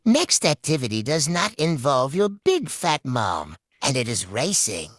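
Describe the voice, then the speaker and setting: A woman's voice: very deep voice, big pitch swings, measured pace, dry recording, studio quality. A woman hosting a playful, improvised puppet show in a theatrical manner.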